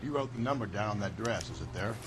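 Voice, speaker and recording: An actor playing a cynical, blunt veteran police detective in a video game, sardonic and domineering. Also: male voice, fairly low pitch, animated, quick pace, natural voice. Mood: dry. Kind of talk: video game cutscene acting. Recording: loud vehicle and ambient noise.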